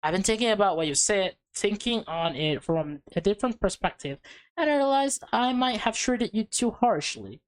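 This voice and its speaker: A woman's voice: mid-range voice, animated, moderate pace, close to an everyday voice. A woman voicing a curt, aloof teenage girlfriend in a visual novel, speaking tersely. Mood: measured.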